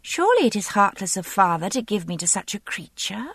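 A woman's voice: mid-range voice, big pitch swings, fairly quick, slightly rough, no room sound, voice pushed up. A woman voicing a spoilt, headstrong aristocratic girl of seventeen in an audiobook, imperious, petulant and insistent. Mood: coy, needling.

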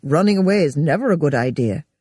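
A woman's voice: low-pitched, expressive, fast talker, mellow, slightly rough, dry recording, studio quality. A woman voicing an exasperated, defensive middle-aged man who sneers and blusters. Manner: sneering, indignant.

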